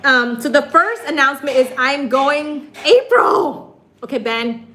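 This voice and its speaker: Bubbly hoarse woman streaming live. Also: sits high, lively intonation, measured pace, bright, forceful tone, slight background noise. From Michigan, USA. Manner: bubbly and chatty, laughs often. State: hoarse, raspy voice. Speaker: livestream host. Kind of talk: casual livestream chat with viewers.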